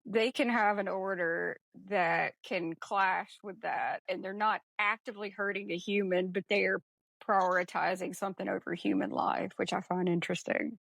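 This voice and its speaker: A woman's voice: medium pitch, steady tone, unhurried, vocal fry, fairly bright tone, no echo. A woman co-hosting a podcast, chatting casually and telling a story in an informal, mildly sweary way. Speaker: podcast co-host. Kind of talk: casual film discussion chat.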